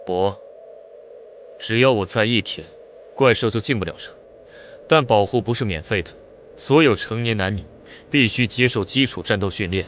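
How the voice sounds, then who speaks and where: Stern young-action-hero commander, male actor: lowish, clipped, cool authority, animated drama. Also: normal speed, long pauses, a little hiss or hum, lightly acted. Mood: resolute. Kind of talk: animated motion-comic drama dubbing.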